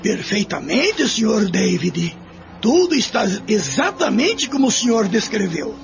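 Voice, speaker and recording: An actor playing an inquisitive, deferential neighbor in a radio drama, with a gravelly, animated delivery. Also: male voice, high-pitched, measured pace, noisy background, full character voice. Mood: intent, agreeable. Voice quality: very creaky, gravelly. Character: inquisitive rustic male neighbor examining buried finds. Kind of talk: amateur radio drama acting. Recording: muffled recording with music behind.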